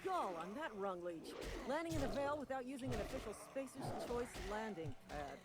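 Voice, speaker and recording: An actor playing a wounded, officious frontier guard in a video game, folksy and earnest, reciting company patter in a drawl. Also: male voice, extremely high pitch, very animated, a little fast, very noisy. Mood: indignant. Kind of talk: video game character acting.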